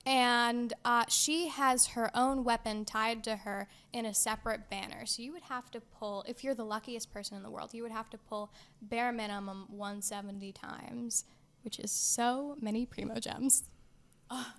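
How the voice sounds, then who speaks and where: A woman's voice: on the high side, fairly even intonation, moderate pace, fairly bright tone. A woman co-hosting a gaming show, chatting casually and playfully with wry little asides.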